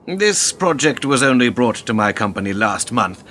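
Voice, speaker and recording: A man's voice: mid-range voice, big pitch swings, moderate pace, fairly bright tone, slight background noise. A man acting in a radio play as a smug, cynical older political fixer who talks in a pompous, wordy, condescending way.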